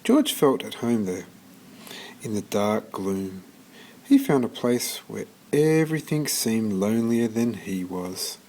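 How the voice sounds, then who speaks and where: A man reading a children's picture book aloud as scripted narration, expressively and with deliberate pauses. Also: on the low side, sing-song, darkish tone, slight background noise. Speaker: storybook reader.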